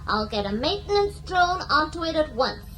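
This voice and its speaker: A woman's voice: high-pitched, big pitch swings, normal speed, a bit bright, a little hiss or hum, low-quality recording. An actress playing a flighty, chatty female ship's computer, gushing with snide, sarcastic politeness in a 1980s radio drama. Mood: gushing, flustered.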